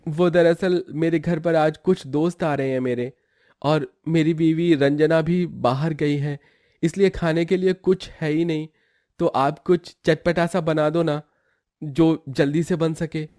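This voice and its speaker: Man acting friendly, higher-voiced young male neighbour. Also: fairly even intonation, unhurried, a little mellow, lightly acted. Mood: requesting.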